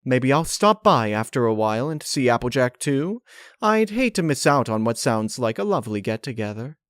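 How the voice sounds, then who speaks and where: A man's voice: medium pitch, sing-song, normal speed, smooth, no echo, clean studio sound, pitched above the performer's own voice, close to an everyday voice. A man voicing a genteel, dramatic female pony who is falsely sweet and scheming, with an affected, ladylike, gushing manner.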